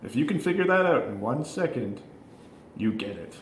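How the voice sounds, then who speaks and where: A man's voice: medium pitch, very animated, normal speed. A man chatting casually as a panelist on a film review, joking dryly. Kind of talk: casual chat. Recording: roomy echo, faint background noise.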